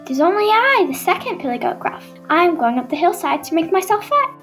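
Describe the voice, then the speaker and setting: A girl playing a meek, high-voiced billy goat. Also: sing-song, a little fast, a bit bright, faint music behind, exaggerated voice. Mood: meek, pleading. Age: child. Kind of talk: amateur readers theater audio drama.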